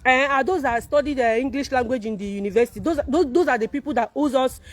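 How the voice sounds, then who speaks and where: Nigerian woman, igbo first language, high voice, lots of repeating herself, noisy background. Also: fast. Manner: emphatic, repetitive, rhetorical questions. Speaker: content creator. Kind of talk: casual monologue to viewers.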